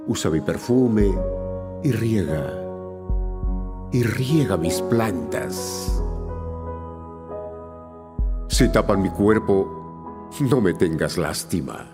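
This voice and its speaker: With new-age music clearly behind him, a man recites poetry in a lowish voice. His delivery is slow and solemn, with measured, dramatic phrasing. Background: soft new-age music.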